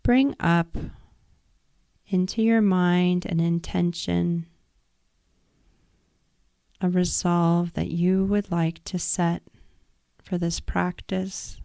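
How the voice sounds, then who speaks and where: A woman guiding a yoga nidra relaxation practice in a calm, soothing, instructive manner. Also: on the low side, extremely slow, creaky, pauses often, no room sound, clean studio sound. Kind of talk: guided meditation.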